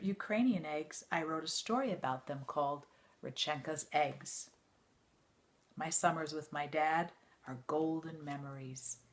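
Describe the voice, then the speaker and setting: A woman reading an autobiography aloud like a teacher to students, in a measured, expressive storytelling way. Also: on the low side, slight background noise.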